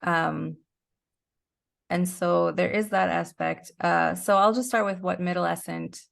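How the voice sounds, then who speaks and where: A woman with a fairly low voice and plenty of vocal fry talks as a podcast guest. She is middle-aged and explains things in a reflective, conversational way, lightly amused as she goes. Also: fairly even intonation, slow, a bit bright. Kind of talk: casual interview conversation.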